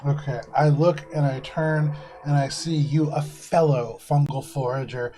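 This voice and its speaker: A player in a tabletop game, talking casually and making quick, wry remarks. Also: medium pitch, fairly even intonation, dark tone, rough recording.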